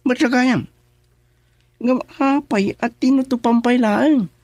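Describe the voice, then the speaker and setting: A man's voice: extremely high pitch, slow pace, darkish tone, no echo, heavily acted. An actor playing an indignant young man confronting his friends, in Ilocano radio drama with a Filipino accent. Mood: suspicious, surprised.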